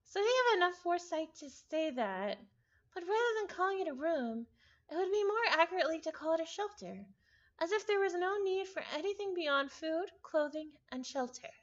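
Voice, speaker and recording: Girly super high voice, woman reading a story out loud on stream, stumbles and repeats, kinda muffled audio. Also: very animated, normal speed. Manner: stumbles over words and repeats them. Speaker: visual novel reader, streamer. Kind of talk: live read-aloud of a story with casual asides.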